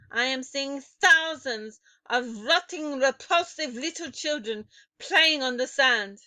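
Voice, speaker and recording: A woman's voice: high-pitched, bright, forceful tone, dry recording, pitched above the performer's own voice, exaggerated voice. A woman voicing a furious, ancient female witch queen who screams and spits with rage. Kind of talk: audiobook character voice.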